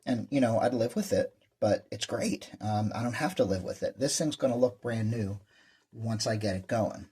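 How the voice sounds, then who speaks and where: A man's voice: on the low side, animated, normal speed, warm tone, husky. A man giving a casual, plain-spoken walkthrough as a hobbyist presenter, explaining things as he goes.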